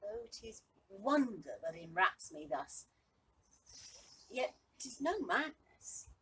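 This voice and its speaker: A woman playing a bewildered, lovestruck young man in a Shakespearean monologue, speaking haltingly and with wonder. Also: mid-range voice, very animated, pitched above the performer's own voice. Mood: dazed wonder. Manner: halting, wondering, shifting from hushed to exclaiming. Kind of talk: Shakespearean stage monologue, verse acting. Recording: distant mic with light background noise.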